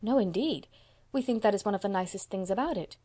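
A woman voicing a gentle, eager young woman college student. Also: mid-range voice, fast talker, breathy edge, lightly acted. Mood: earnest.

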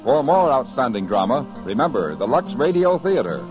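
Animated American vintage radio announcer, male. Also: medium pitch, moderate pace. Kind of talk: 1950s scripted radio announcing. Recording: dull 1950s radio recording, music behind.

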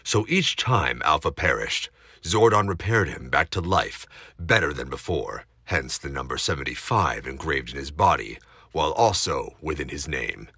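A man's voice: a bass voice, expressive, normal speed, pushed and bright, breathy edge, dry recording. A man narrating a scripted audio drama in a dramatic, suspenseful storytelling manner.

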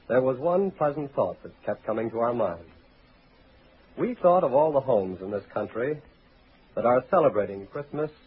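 A man announcing in the scripted 1940s radio style, formal, measured and declamatory, as a sponsor's spokesman. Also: mid-range voice, slightly rough. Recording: tinny, faintly noisy 1940s radio recording.

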